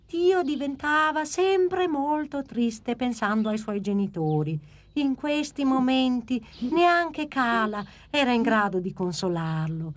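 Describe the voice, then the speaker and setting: A woman narrating a children's cartoon story in a gentle storytelling manner. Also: on the high side.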